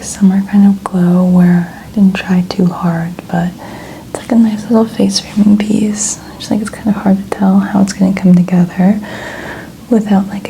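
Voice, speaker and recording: A woman in her twenties speaking in a soft, breathy near-whisper, chatting casually and gently as an ASMR host. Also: medium pitch, slight background noise, rough recording. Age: 20s. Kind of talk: soft-spoken ASMR, casual personal chat.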